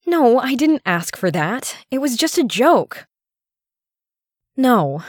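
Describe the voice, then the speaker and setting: Slow-talking, coy girlfriend played by a woman. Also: deep voice, a little mellow, no echo, clean studio sound. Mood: flustered protest.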